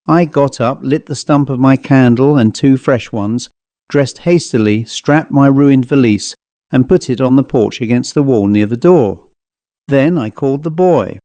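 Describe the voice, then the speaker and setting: A man's voice: medium pitch, warm tone, dry recording. Man narrating a ghost story, dry close recording. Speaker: audiobook narrator.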